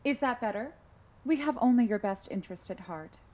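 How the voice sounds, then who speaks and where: An unruffled female car computer, acted by a woman, speaks politely and robotically at a slightly high pitch. Loud music plays along with this radio drama voice. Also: lively intonation, fairly quick, natural voice. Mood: calm, polite.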